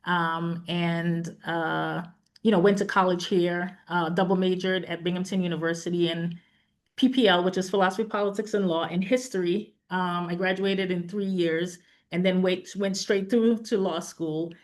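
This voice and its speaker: A woman from Saint Vincent and the Grenadines introducing herself on a professional panel, pausing often with fillers. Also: lowish voice, steady tone. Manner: hesitant, many fillers. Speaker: panelist, project manager.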